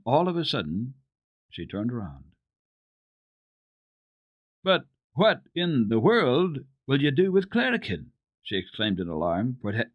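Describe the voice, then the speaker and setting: A man giving an expressive, deliberate dramatic reading of children's literature as a radio storyteller. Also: mid-range voice, dry recording, dull audio.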